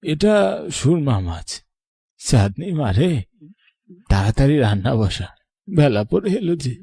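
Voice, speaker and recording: Man acting elderly cartoon grandad, gravelly, swooping intonation, sharp-tongued, affectionate. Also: mid-range voice, big pitch swings, a little slow, no echo, clean studio sound, heavily acted. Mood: cheerful. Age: older. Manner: scolding, then tender storytelling. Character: old grandfather, protective, weary, sharp-tongued but affectionate.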